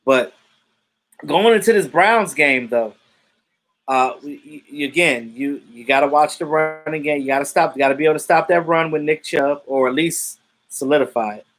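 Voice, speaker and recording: A man in his thirties hosts a live sports stream and talks to his viewers in a loose, unscripted way. He is blunt and outspoken and swears freely, and his voice sits a little high for a man. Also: normal speed, a bit bright, rough recording. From Jamaican family roots. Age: 30s. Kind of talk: casual sports chat reading viewer comments.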